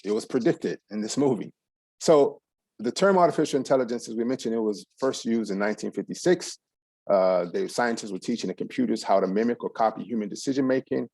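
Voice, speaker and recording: A man's voice: medium pitch, fairly quick, gravelly, darkish tone, dry recording, low-quality recording. A middle-aged man from Texas, giving a loose, rambling presentation to an audience with plenty of ums and restarts. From USA, San Antonio, Texas.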